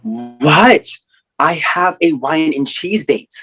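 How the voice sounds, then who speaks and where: A man's voice: a little high, lively intonation, slow pace, fairly bright tone, breathy edge, faint music behind, full character voice. A man in a comedic play reading, playing a cheeky young friend with a flippant, sarcastic delivery.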